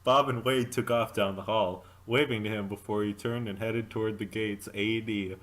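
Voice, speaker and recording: Low-pitched man reading a story aloud. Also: steady tone, normal speed, a bit bright, low-quality recording. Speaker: fanfic reader.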